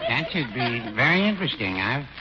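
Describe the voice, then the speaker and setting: An actor playing a mild, dry, polite small-town shopkeeper in a 1940s radio comedy. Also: male voice, medium pitch, very animated, a little fast, close to an everyday voice. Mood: deadpan. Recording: very noisy, muffled 1940s radio recording.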